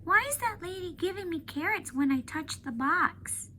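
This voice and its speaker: A woman voicing a young female elephant with a high, childlike, pleading voice. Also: animated, normal speed, sharp tone, a little hiss or hum, heavily acted. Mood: puzzled.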